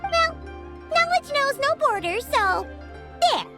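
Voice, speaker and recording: Squeaky, very high childlike fairy. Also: female voice, sing-song, takes it slowly, heavily acted. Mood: boastful. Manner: refers to self in third person, perky. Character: small childlike floating fairy companion, chirpy and boastful. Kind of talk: animated video game voice acting. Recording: music behind the voice.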